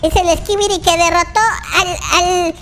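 A host voicing a baby boy character in a very high, childlike voice, giving excitable, exclamatory Minecraft roleplay commentary and repeating words. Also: unhurried. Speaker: gaming video host. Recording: children's music behind the voice. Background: loud playful children's music.

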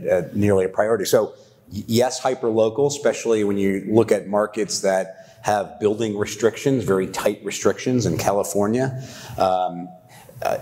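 A man's voice: fairly low pitch, a little mellow. A man speaking as a panelist at a conference, thoughtful, often checking in with "right?", on a lapel mic in a large hall. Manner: thoughtful, frequent "right?" tag questions.

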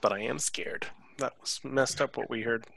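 A man's voice: mid-range voice, fairly even intonation, normal speed, creaky, fairly bright tone, low-quality recording. A man chatting casually as a player in a tabletop game, speaking on a video call. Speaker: tabletop role-playing player.

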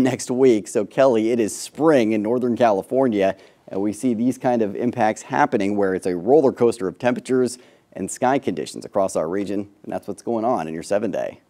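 Warm-voiced man giving a TV weather forecast. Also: lowish voice, a little fast. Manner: upbeat, steady on-air delivery. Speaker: TV meteorologist.

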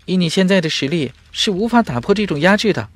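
A man's voice: high voice, expressive, quick pace, no echo, pitched above the performer's own voice. A man voicing an eager, respectful fire spirit servant who explains earnestly in an audiobook. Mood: urgent, deferential. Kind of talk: audiobook character voice.

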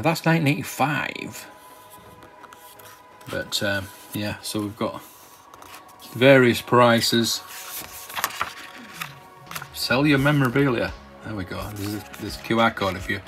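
A man with a lowish voice talks through a catalogue in a casual, rambling way, full of ums and false starts. His remarks are enthusiastic and unscripted, and faint music plays behind him. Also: fairly bright tone. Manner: rambling and hesitant, with many ums and restarts. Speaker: collector and channel host. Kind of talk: casual commentary while browsing a catalogue. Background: faint background music.

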